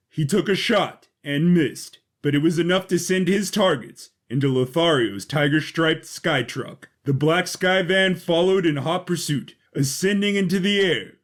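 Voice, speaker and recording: A man reading scripted, dramatic narration for an audio drama. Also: a little high.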